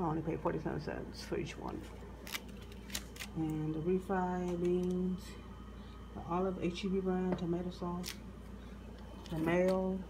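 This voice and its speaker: A woman vlogger showing off her grocery haul in her home kitchen, listing items one by one with halting restarts, filming on a handheld phone. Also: medium pitch, noisy background. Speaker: couponing vlogger. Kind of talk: casual grocery haul show-and-tell. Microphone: handheld phone camera filming the table.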